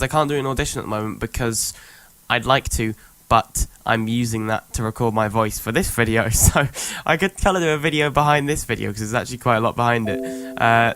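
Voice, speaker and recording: A man's voice: mid-range voice, fairly bright tone, clear, resonant tone, no room sound. Young man with a sick voice explaining his editing. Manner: rambling, thinks aloud with many ums. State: sick, voice worn. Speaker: youtuber showing his editing. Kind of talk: casual screen-recorded tutorial.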